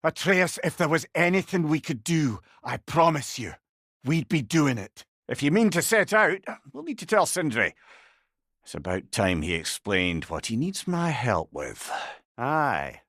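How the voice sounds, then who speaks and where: A man's voice: on the high side, a little slow, no echo, clean studio sound, exaggerated voice. A man playing a witty old talking severed head, a wise counsellor with a Scottish accent and an eloquent, wry manner in a video game. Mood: earnest, sombre. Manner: wry, eloquent, animated storytelling. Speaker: companion character in an action game. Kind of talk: video game character voice lines.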